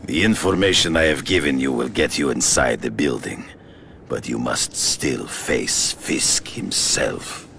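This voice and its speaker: An actor playing a proud, formal hunter villain in a video game. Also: male voice, bright, forceful tone, a little hiss or hum, heavily acted. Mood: grave. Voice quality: deep gravelly measured voice. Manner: formal, grave, deliberate. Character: proud Russian hunter villain, man, middle-aged, formal and menacing.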